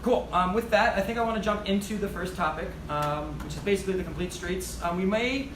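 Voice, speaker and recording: A man moderating a panel in a booming, friendly teacher voice. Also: a little fast. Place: echoey conference room. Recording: echoey room, far from mic, background noise.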